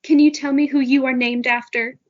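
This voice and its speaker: Sweet, insistent elderly teacher; high, breathy, slightly muffled. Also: female voice, level tone, normal speed. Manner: sweet but insistent, coaxing. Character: kindly older female teacher, gently controlling. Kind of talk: audio drama acting.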